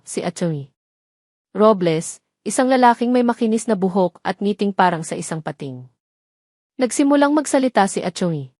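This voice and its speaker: A woman's voice: medium pitch, darkish tone, no room sound, clean studio sound. A woman reading a dramatic scripted love story as the narrator of an audio drama.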